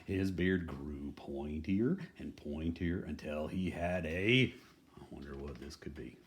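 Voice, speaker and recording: A man's voice: extremely low pitch, takes it slowly. A man reading a children's picture book aloud in a folksy, playfully dramatic manner, adding chatty asides. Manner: folksy, playful dramatic delivery, dropping to hushed tones.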